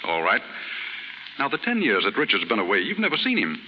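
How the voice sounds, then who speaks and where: Paternal, gently correcting author, lively intonation, 1930s radio drama, long stage reverb. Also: male voice, lowish voice, a little hiss or hum, full character voice. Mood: coaxing. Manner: measured, didactic, fond.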